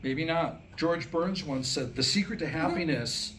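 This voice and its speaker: A man's voice: on the high side, unhurried, fairly bright tone. A man in his sixties performing a staged reading of jokes and comic monologue, wryly acting out the dialogue. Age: 60s. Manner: wry joke-telling, acting out quoted dialogue. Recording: live room recording with background noise.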